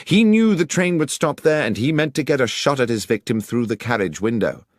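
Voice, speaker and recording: Audiobook detective, man performing: brisk, commanding, explains with precision, lively intonation. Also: medium pitch, dry recording, studio quality, pitched above the performer's own voice, lightly acted. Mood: assured, explanatory. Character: brisk, assured male detective explaining his deductions. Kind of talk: audiobook character dialogue.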